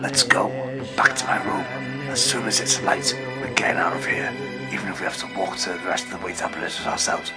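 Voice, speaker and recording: A man's voice: medium pitch, fairly quick, over loud music, lightly acted. An actor playing a polite, earnest and anxious Welsh father in a radio play. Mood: hushed, urgent. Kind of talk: period radio play acting.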